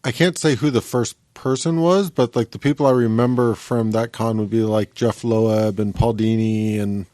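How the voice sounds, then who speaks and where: A man's voice: fairly low pitch, fairly even intonation, moderate pace, hardly any pauses, warm tone, dry recording. A man chatting casually as a podcast co-host. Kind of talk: casual chat.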